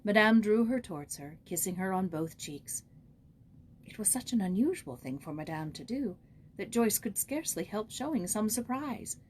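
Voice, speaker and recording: A woman reading a children's story aloud in expressive, scripted narration as an audiobook reader. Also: mid-range voice, normal speed, slight background noise.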